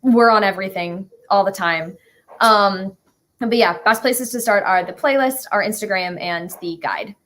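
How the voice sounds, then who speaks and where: A woman's voice: mid-range voice, moderate pace, fairly bright tone. A young American woman chatting informally and enthusiastically as an interview guest. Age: young adult. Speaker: interview guest, musical creator. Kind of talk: casual chat.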